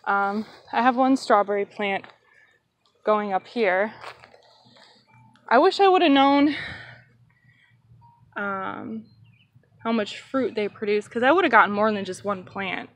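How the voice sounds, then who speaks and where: A woman filming a relaxed, casual tour of her backyard garden on a phone, chatting with plenty of ums. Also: mid-range voice, slow pace, pauses often. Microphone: phone held while walking.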